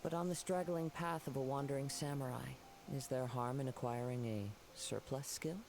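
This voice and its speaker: An actor playing a calm, poetic young wandering samurai in a video game, speaking formally and courteously in a measured way. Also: male voice, medium pitch, noisy background, lightly acted. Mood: courteous, composed. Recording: game music behind the voice.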